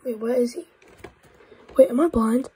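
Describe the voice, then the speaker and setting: Croaky, congested excitable woman gamer. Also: on the high side, normal speed. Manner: excitable, with frequent whispered asides. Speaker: gaming YouTuber. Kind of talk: casual gameplay commentary.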